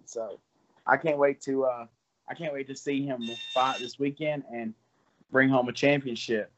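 A man's voice: medium pitch, fairly even intonation, normal speed, a bit bright, muffled recording, a little hiss or hum. Young man talking loosely about fighting. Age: 20s. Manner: loose, rambling, laughs between thoughts. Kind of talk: casual interview talk.

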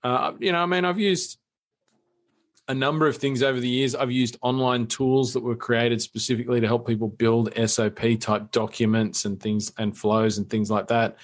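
An Australian man co-hosting a podcast, chatting casually with dry jokes and a halting delivery. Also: mid-range voice. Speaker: podcast co-host. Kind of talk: casual chat.

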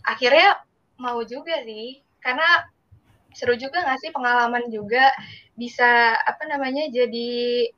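High-voiced Indonesian teenage girl, muffled audio. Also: a bit bright. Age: teenage student. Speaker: student interviewee. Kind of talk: casual anecdotal chat.

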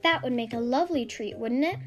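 A woman voicing a brisk, fussy and excitable mother of a young witch. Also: on the high side, over music, lightly acted. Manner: brisk, scolding then gushing.

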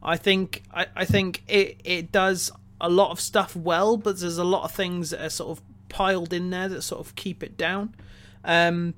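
A man co-hosting a film review podcast, talking casually and offering measured, tentative opinions. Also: high-pitched, level tone, fairly bright tone.

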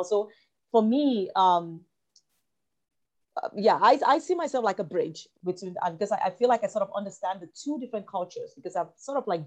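A woman from Cameroon talking reflectively as an interview guest, halting and repeating phrases as she searches for her words. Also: mid-range voice. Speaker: interview guest, writer and actor.

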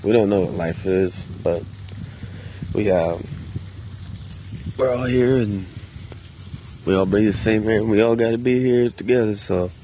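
A man talking to himself in a park in a quiet, subdued, hesitant way, with many restarts and casual swearing. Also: on the low side, unhurried, pauses often, noisy background. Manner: loose and conversational with casual swearing. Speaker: vlogger. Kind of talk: casual solo monologue. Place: outdoors in a park.

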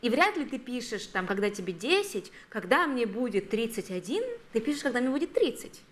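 A woman of thirty co-hosting a podcast in casual chat, lively and acting out quotes. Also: brisk. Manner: lively, acts out quotes in a high voice. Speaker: podcast co-host.

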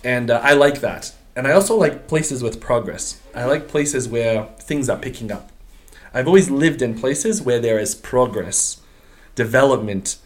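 A middle-aged man from Portugal, a guest on an interview podcast, telling his story in a relaxed, slangy way with many fillers and restarts. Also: mid-range voice, measured pace. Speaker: guest, business owner. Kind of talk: casual interview chat.

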